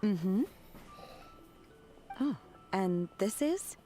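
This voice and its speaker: A young disciple working as a waitress in a video game. Her medium-pitched voice is courteous, reserved and formal, with quiet music underneath. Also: lively intonation, very slow. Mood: composed. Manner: formal, composed.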